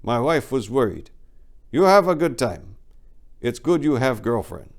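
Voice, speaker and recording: A man's voice: medium pitch, sing-song, a little slow, voice pushed up. A man voicing a conciliatory middle-aged male boss who speaks in clipped, broken English in a memoir reading. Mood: relieved, approving.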